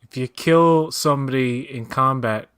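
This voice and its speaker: A man talks casually while watching a film with a friend, giving blunt, opinionated takes and swearing freely. His voice sits in an ordinary middle pitch. He rambles in loose, offhand sentences. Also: unhurried, a bit bright, smooth. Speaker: guest co-watcher. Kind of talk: casual watch-along chat.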